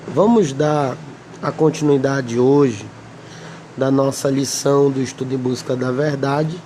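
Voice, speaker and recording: A man with a Brazilian Portuguese accent, slightly hoarse, teaching a Bible lesson and reading scripture slowly with many pauses. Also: medium pitch, mellow, slight background noise. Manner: slow, deliberate, pausing often, asks "amém?".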